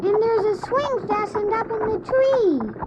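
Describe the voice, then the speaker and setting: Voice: very high-pitched, expressive, measured pace. A performer playing an innocent, curious little boy in a radio drama, with a wistful, childlike way of asking questions. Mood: wondering, eager. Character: innocent, eager, freckled little boy, motherless and poor. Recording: noisy, dull old cassette audio.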